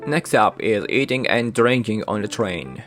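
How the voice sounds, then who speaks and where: A man with a Japanese accent, a native Japanese speaker, hosting a channel and narrating a scripted guide in even, careful short phrases. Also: on the low side, a little slow, loud music behind the voice. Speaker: channel host.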